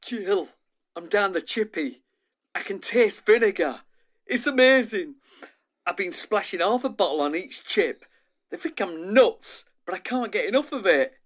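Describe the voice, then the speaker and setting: A man's voice: high-pitched, expressive, a little slow, fairly bright tone, heavily acted. Down-to-earth man, eager, chatty, savouring words, radio drama. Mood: giddy. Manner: chatty, relishing. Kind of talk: audio drama acting.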